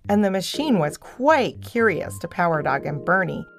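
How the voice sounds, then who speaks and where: A woman's voice: lowish voice, sing-song, normal speed, gravelly, soft background music. A woman storyteller reading scripted narration for a children's audio story.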